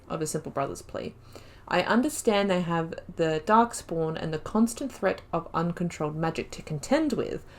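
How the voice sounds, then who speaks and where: Casual woman doing game commentary. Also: lowish voice. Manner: informal, wry asides. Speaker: gaming video host. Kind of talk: casual playthrough commentary, reading game text aloud.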